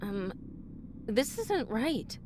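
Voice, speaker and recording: An actress playing a determined, inquisitive devotee of a trickster god in a fantasy audio drama, speaking earnestly and directly. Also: female voice, on the high side, sing-song, extremely slow, background noise, full character voice. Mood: uneasy. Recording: music bed under the voice.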